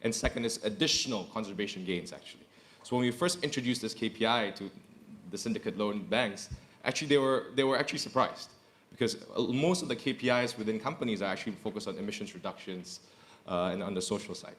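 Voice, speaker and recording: A man's voice: on the low side, fast talker, fairly bright tone. A man, speaking earnestly as a corporate executive on a panel and repeating phrases for emphasis. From Indonesia. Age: 30s. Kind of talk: panel discussion.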